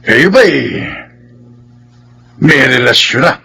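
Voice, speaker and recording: An actor in a Filipino radio drama playing a concerned, protective older uncle who admonishes earnestly. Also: male voice, medium pitch, big pitch swings, slow, gravelly, bright, forceful tone, husky, full character voice. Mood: grave, admonishing.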